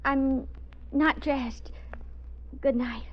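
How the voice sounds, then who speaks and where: An actress playing a frightened but quick-witted woman held at gunpoint, breathy and husky, in 1950s radio drama style. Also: high-pitched, steady tone, slight background noise. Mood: nervous, covering up. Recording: muffled old radio recording.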